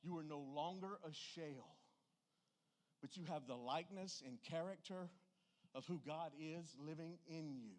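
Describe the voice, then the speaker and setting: A man's voice: on the high side, measured pace. A man with a Southern US accent preaching a church sermon in a folksy, plainspoken and emphatic way.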